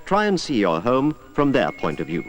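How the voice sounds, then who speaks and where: A British man narrating a 1980s public information film in a measured, instructive way, as an earnest safety narrator. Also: mid-range voice, wildly swooping pitch, soft background music. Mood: measured, serious. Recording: noisy old 1980s film soundtrack.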